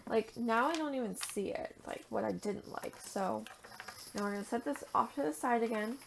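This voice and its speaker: A young woman, raspy and tired after a long day, chatting casually as she demonstrates an art journaling technique and sometimes murmuring to herself. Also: a little high, moderate pace, noisy background. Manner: chatty, thinks aloud while working, drops to a murmur at times. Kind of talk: casual crafting tutorial.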